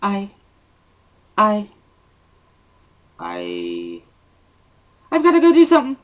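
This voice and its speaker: Man putting on a timid, high-voiced stammering schoolgirl. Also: wildly swooping pitch, exaggerated voice. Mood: panicked. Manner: halting, stammering, quiet. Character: timid scared teenage schoolgirl, stammering, painfully shy.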